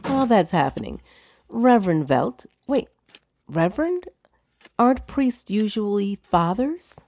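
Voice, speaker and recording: A woman's voice: medium pitch, very animated, unhurried, a little mellow, dry recording. An actress playing a poised, wry noblewoman of a wizard's tower in a fantasy audio drama. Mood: businesslike. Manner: dry, amused, composed.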